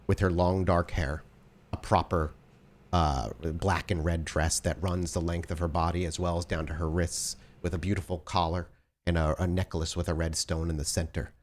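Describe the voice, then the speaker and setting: A man's voice: deep voice, level tone, moderate pace, a little hiss or hum. A man working as game master, improvising descriptive narration for a tabletop horror role-playing game.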